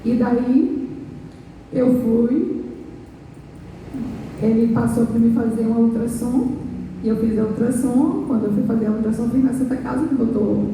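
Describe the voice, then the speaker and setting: Hoarse Brazilian woman pastor, earnest halting testimony, heard from afar in a booming hall with faint noise. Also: fairly even intonation, dark tone. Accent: Brazilian Portuguese. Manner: earnest, halting retelling with restarts. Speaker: pastor and worship minister. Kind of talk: church testimony. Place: large church hall. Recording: echoey church hall, distant mic, faint noise.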